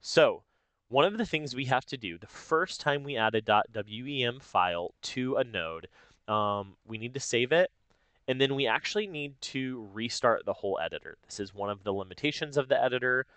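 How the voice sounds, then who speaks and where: A man narrating a casual step-by-step software tutorial, explaining informally and thinking aloud as he goes. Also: mid-range voice, animated, normal speed, no echo, rough recording. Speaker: tutorial host.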